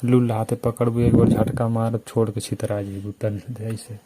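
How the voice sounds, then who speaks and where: A man's voice: lowish voice, flat delivery, normal speed, warm tone, slight background noise. A man with a Bhojpuri accent chatting casually and intimately in a coaxing, teasing manner. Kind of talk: casual intimate private chat.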